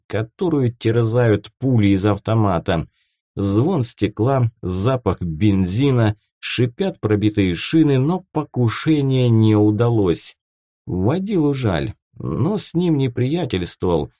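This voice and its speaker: A man narrating first-person fiction from a script as an audiobook, with a dramatic, expressive delivery. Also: lowish voice, no echo, studio quality.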